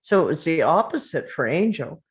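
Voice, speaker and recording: A woman's voice: low-pitched, very animated, moderate pace. A woman at a table read chatting casually, telling a short anecdote with fillers.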